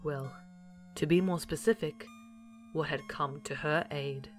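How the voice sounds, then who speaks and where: A woman's voice: low voice, fairly even intonation, unhurried, soft background music. A woman delivering scripted narration as the narrator of an audio series, in a formal storytelling manner.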